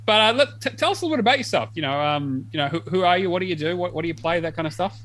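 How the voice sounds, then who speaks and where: A man hosts a gaming podcast and fires off loose questions, often repeating words as he starts. He talks quickly, with his voice sitting slightly high for a man. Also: expressive, fairly bright tone. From New Zealand. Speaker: podcast host. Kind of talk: casual podcast chat.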